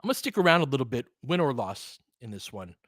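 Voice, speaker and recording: A middle-aged man with a bright, forward voice in a dry, close recording. He hosts casual live basketball commentary, mixing play-by-play with opinionated chat and reacting out loud as he goes. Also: medium pitch, big pitch swings, fast, dry recording. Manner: conversational, opinionated, reacts aloud to the action. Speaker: online basketball play-by-play host.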